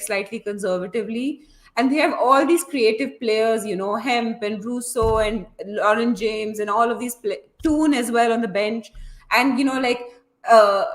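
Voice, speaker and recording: A woman's voice: on the high side, fairly bright tone, reverberant. A woman casually commentating and analysing a live football match, chatty and opinionated, feeling her way through her thoughts. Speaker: host of a football watchalong.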